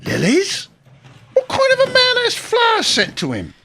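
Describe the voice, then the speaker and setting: A man acting in a radio drama as a gruff, anxious, haunted older war veteran. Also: very high voice, wildly swooping pitch, moderate pace, noisy background, heavily acted.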